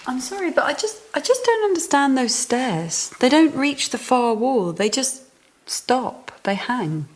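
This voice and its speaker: A woman's voice: high-pitched, big pitch swings, measured pace, full character voice. An actress playing an earnest, precise woman scientist who is easily riled, in a naturalistic radio drama. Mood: puzzled.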